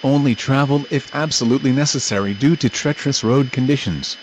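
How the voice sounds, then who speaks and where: A man reading a scripted emergency broadcast announcement in a formal, measured manner. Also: mid-range voice, a little hiss or hum, poor audio.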